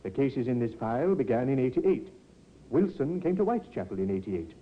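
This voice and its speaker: An actor playing a probing, impatient police inspector with a clipped, insistent manner in a 1950s radio drama. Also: male voice, mid-range voice, sing-song, a little fast, a little hiss or hum, close to an everyday voice. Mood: impatient, pondering. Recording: dull vintage radio recording.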